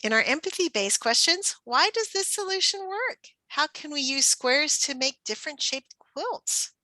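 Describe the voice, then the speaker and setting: Lively, bright-voiced woman teaching educators. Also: sits high, moderate pace, no echo. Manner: poses questions as if to young children. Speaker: teacher trainer presenting a workshop. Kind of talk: instructional presentation for educators.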